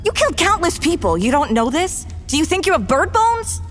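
A woman's voice: very high voice, big pitch swings, fairly quick, faint music behind. A woman playing a baffled, literal-minded young swordswoman in an anime parody dub. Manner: baffled, pedantic.

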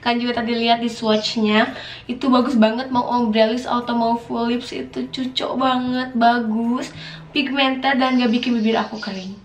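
A hoarse young woman in her twenties talks through a makeup review in a chatty, gushing way, her voice sitting a little high. She records to camera in a small room that sounds echoey, with a faint hiss underneath. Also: steady tone, moderate pace. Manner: chatty, gushing, full of fillers. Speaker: beauty vlogger. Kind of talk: casual product review. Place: small indoor room, plain wall.

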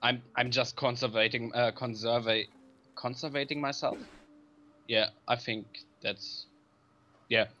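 A man's voice: mid-range voice, steady tone, unhurried, pauses often, sharp tone, lo-fi sound. A twenty-year-old man with a German accent, chatting casually over voice chat while gaming, teasing bluntly and dryly. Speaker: game player on voice chat.

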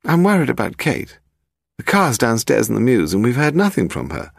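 A man voicing a refined, sharp-tongued wife who teases and frets in a reproachful way. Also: mid-range voice, wildly swooping pitch, normal speed, vocal fry, dry recording, clean studio sound, pitched above the performer's own voice, exaggerated voice.

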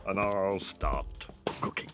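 An actor playing a bumbling, excitable, childlike cartoon man who mutters and exclaims in short halting bursts with a gravelly voice. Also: low voice, over music. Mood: flustered.

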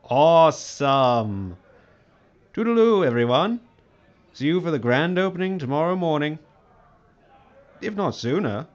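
A man's voice: fairly high pitch, big pitch swings, a little slow, long pauses. An actor playing an eccentric, crazed showman in an audio drama, grandiose and theatrical. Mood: cheery, sly. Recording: dull lo-fi audio.

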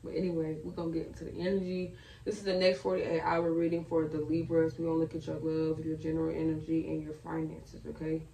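A young woman reading tarot cards in a casual, slangy way, with a slightly raspy voice, talking at a table in her bedroom. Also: deep voice, completely flat delivery, quick pace, mellow, a little hiss or hum. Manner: chatty, slangy, thinking aloud. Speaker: tarot reader. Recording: roomy, a bit far from the mic.

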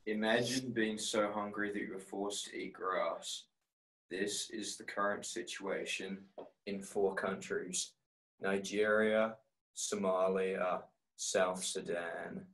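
A man with a low, creaky voice recites from memory at a slow, halting pace. The sound is echoey, as from a room on a video call. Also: steady tone, fairly bright tone. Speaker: volunteer participant reciting from memory. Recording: roomy, echoing video call audio.

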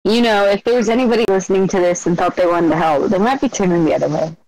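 Woman, mid pitch, dry sound, talkative tabletop player. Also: fast, no echo, low-quality recording. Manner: chatty, plans out loud. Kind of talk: playing a tabletop game online.

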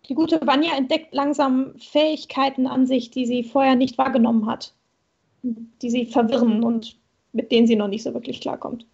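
High, creaky, husky woman's voice. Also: a little mellow. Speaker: stream guest and co-writer. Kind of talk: casual explanation. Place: room at home, video call. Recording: slightly dull online call audio.

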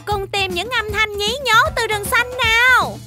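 A woman voicing an eager, friendly childlike fox explorer in a children's cartoon, expressive and addressing young viewers. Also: extremely high pitch, over loud music. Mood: cheerful, eager. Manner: exaggerated, slow and expressive for small children.

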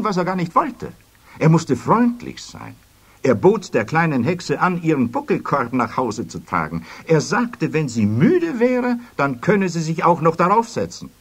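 A man's voice: a little high, slightly rough. A man narrating a children's fairy-tale audio play as a scripted storyteller. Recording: music behind, children's cassette production.

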